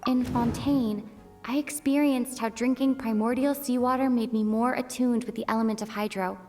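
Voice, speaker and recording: An actress playing a thoughtful, observant female traveler in a video game, reasoning in a pensive, deliberate way. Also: on the high side, steady tone, a little mellow, soft background music, lightly acted.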